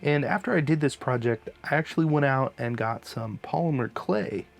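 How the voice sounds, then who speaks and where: A man's voice: medium pitch, lively intonation, moderate pace, vocal fry. A man hosting a hobby channel, giving a casual, informal voice-over tutorial in a laid-back manner. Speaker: host of a miniature hobby channel.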